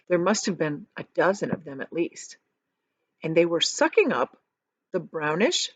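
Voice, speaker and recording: A woman reads a children's story aloud in a teacherly way. Her pitch moves expressively as she leans on descriptive words and explains to young listeners. The recording is dry and slightly muffled. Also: no room sound. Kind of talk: expressive storybook read-aloud with casual asides.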